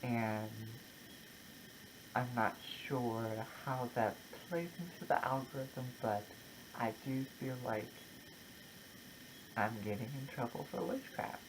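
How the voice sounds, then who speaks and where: A content creator talking casually and personally, in a halting, reflective way with short broken phrases. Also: mid-range voice, noisy background. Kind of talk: casual personal vlog, opinion talk to camera.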